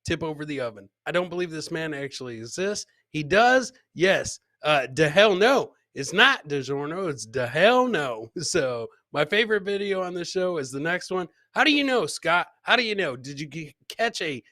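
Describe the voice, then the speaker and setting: A man's voice: a little high, sing-song, moderate pace, few pauses, bright, forceful tone, no room sound. A man in his forties hosting a live comedy clip show in a casual, jocular, profane way, repeating his phrases, close on a podcast mic. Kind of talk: casual livestream chat. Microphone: close podcast mic on a boom arm, headset.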